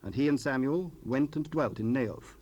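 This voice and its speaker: A man with a medium-pitched voice narrates a dramatized Bible story. His delivery is solemn and scripted, as the narrator between acted parts. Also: expressive, normal speed, darkish tone, slightly rough, highs cut off.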